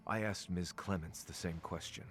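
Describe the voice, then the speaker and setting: A man voicing a somber game character, a grieving ferryman of souls who speaks in a measured, grave way. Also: deep voice, steady tone, fairly bright tone, soft background music. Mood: somber, reflective. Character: somber male ferryman of souls, mourning his mentor. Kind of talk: video game voice acting.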